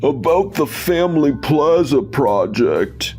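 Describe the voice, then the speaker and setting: A man playing a stern, measured company boss and father in a manga dub, speaking with authority. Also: a little high, animated, warm tone. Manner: deliberate, authoritative. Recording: music under the voice.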